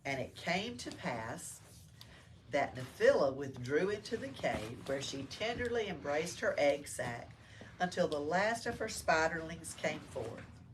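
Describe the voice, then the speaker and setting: A woman's voice: low voice, very animated, measured pace. A woman reading a storybook aloud to children as a library storyteller. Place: indoors in a library. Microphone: distant room mic. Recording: distant mic with faint background noise.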